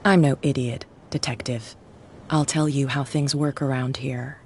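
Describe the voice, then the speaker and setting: A woman's voice: a bass voice, steady tone, a little slow, darkish tone, slight background noise. A woman voicing a ruthless bootlegger gang boss in a video game, cold, mocking and threatening. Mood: menacing.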